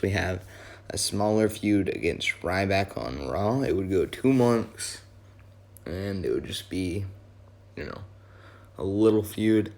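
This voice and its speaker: A hoarse young man, his voice worn from yelling, rambling casually through a wrestling talk with many fillers and self-corrections. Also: sits low. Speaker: wrestling video host. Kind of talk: casual fantasy-booking commentary.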